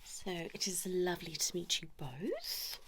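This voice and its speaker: A woman's voice: lowish voice, sing-song, noisy background. Woman role-playing a whispery, blunt couples therapist, ASMR. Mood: brisk, polite. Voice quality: breathy soft-spoken, often slipping into whisper. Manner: fussy, chiding, pausing as if listening to clients. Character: blunt, judgmental female couples therapist, brisk and exasperated. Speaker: ASMR role-play performer.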